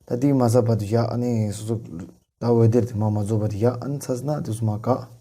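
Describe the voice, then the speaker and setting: A man giving an unscripted software tutorial, talking through the steps in halting, broken-up phrases. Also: medium pitch, steady tone. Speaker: tutorial presenter.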